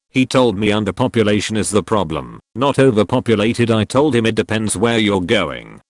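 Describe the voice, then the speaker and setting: A man with a fairly low voice reads one online comment after another, steady and matter-of-fact. The sound is dry and close, with no room around it. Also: dry recording. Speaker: narrator reading forum posts. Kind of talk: scripted reading of online comments.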